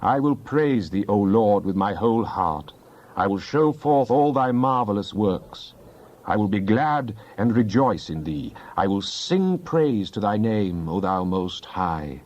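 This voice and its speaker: A man's voice: lowish voice, animated, a little slow, a little mellow, muffled recording. A man playing a fervent psalmist in a dramatized Bible reading, solemn and declamatory in archaic scripture language. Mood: reverent praise. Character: fervent psalmist pleading with and praising God.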